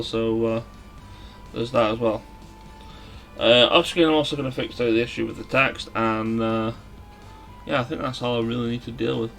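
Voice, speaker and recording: A man giving casual, somewhat halting video game commentary as a let's play host. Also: mid-range voice, fairly bright tone. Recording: music behind the voice. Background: game music.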